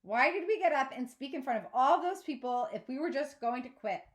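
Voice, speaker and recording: A woman voicing an earnest, anxious seventh-grade girl in an expressive storybook read-aloud. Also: sits high, a little fast, voice pushed up. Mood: upset.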